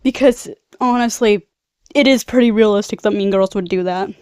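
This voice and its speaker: Nasal young woman with a mellow voice. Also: medium pitch, moderate pace, clean tone, dry recording, studio quality. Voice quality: nasal, congested. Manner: rambling, girly slang, tangents. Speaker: video host, film recapper. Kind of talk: casual rambling commentary. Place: bedroom.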